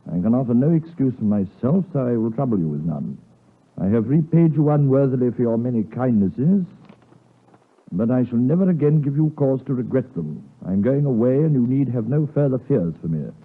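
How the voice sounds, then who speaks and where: An actor playing a stern, loyal British gentleman lawyer in a 1950s radio drama, formal, blunt and emphatic. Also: medium pitch, sing-song, normal speed, breathy edge. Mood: somber reading. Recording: muffled, lo-fi 1950s radio broadcast.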